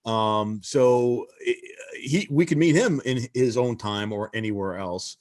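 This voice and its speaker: Excited man on a panel call. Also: medium pitch, measured pace. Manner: emphatic, leans on "you know" fillers. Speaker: convention panelist. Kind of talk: casual fan panel discussion. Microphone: video call.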